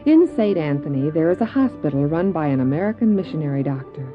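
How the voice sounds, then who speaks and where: A woman playing the measured storyteller of an adventure audio drama, reading scripted dramatic narration. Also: sits low, lively intonation, highs cut off, music in the background. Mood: measured, scene-setting. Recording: thin old cassette recording.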